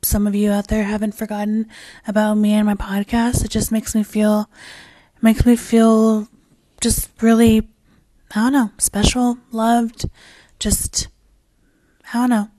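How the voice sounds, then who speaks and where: A woman talks through her own podcast with a raspy, worn-out voice that is nearly gone. She rambles candidly, circling back over her words and swearing casually. Her tone sits dark and mellow with little rise and fall, and the recording is dry and close. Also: medium pitch, monotone, a little slow, no room sound. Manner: rambling and candid, with frequent fillers and restarts. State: hoarse, losing her voice. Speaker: podcast host. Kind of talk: casual solo podcast chat.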